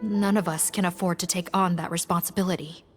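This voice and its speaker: A woman's voice: fairly low pitch, steady tone, fairly quick, soft background music, close to an everyday voice. An actress playing a formal, cautious female officer leading her team in a video game. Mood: reluctant, concerned. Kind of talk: scripted video game dialogue.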